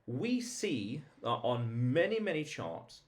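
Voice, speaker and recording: Guy doing an informal vlog explainer, average pitch, emphatic, repeats himself and asks rhetorical questions. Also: big pitch swings, slow pace. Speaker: vlog host. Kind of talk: informal explainer monologue to viewers.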